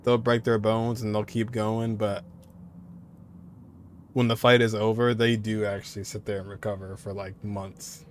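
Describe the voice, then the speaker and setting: Offhand male game streamer, lowish voice. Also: flat delivery, measured pace, slight background noise. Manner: quippy, offhand reactions. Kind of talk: casual live gameplay commentary.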